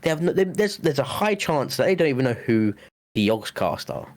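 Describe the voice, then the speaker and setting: A man's voice: medium pitch, sing-song, fairly quick. A young man from the UK co-hosting a casual podcast, chatty and nostalgic, swearing now and then and acting out his own reactions. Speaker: podcast co-host. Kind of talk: casual chat.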